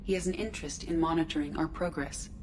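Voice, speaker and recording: A woman voicing a starship artificial intelligence in a video game, measured, precise and detached in manner. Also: deep voice, darkish tone, close to an everyday voice. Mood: calm, detached. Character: calm, formal female starship artificial intelligence. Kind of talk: scripted video game dialogue. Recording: clear background noise, ship ambience.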